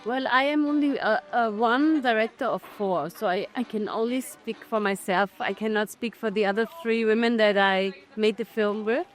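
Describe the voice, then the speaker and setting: Middle-aged woman from austria, documentary maker, talks slow and searching, kinda high voice. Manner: thoughtful, searching for words, frequent restarts. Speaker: documentary filmmaker, festival guest. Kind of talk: festival interview answers.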